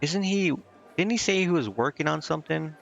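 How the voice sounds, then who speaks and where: A man's voice: fairly high pitch, animated, a little fast, gravelly, highs cut off. A man giving casual, off-the-cuff gameplay commentary as a streamer, slangy and irreverent. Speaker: video game streamer.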